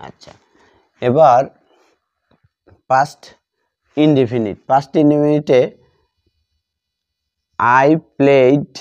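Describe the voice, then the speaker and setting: Man, grammar tutor with a Bengali accent. Mellow tone, extremely unhurried delivery, repeats phrases as if dictating. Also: medium pitch, fairly even intonation, dry recording. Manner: deliberate, dictating word by word with repetition. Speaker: English grammar teacher. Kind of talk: instructional lesson, bilingual grammar explanation. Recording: dull lo-fi sound.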